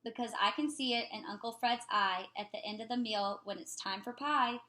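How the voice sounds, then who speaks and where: Higher-voiced woman reading a children's storybook. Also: moderate pace, bright, forceful tone, a little hiss or hum. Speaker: picture book reader. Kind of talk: expressive children's storybook read-aloud.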